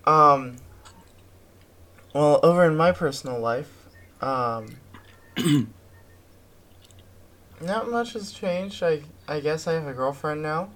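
A man co-hosting a podcast, chatting casually about pop culture in a halting way with drawn-out words. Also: on the high side, fairly bright tone. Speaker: podcast co-host.

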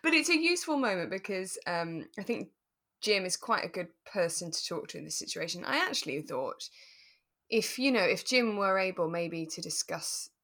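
Casting: female podcast co-host, lower register, thoughtful and tentative, casual conversational delivery. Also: sing-song, moderate pace, pushed and bright. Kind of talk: relaxed casual discussion.

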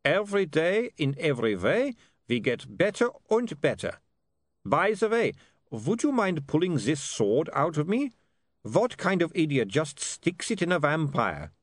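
An unflappable male vampire from an audiobook, played by a man, chipper and politely fussy. The voice is high and very lively, matter-of-fact in delivery, with a close, dry sound. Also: unhurried, no room sound. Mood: wryly chipper. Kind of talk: audiobook character dialogue.

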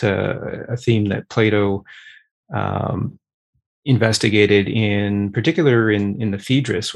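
A man moderating a group discussion, asking questions in a measured, thoughtful way. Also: lowish voice, vocal fry.

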